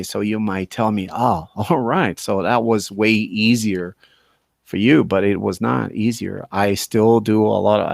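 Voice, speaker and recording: A man's voice: fairly low pitch, vocal fry, no room sound, clean studio sound. A man with a Brazilian accent, an English teacher talking informally to learners in an emphatic way, repeating phrases for stress. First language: Portuguese. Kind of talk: informal talk to language learners.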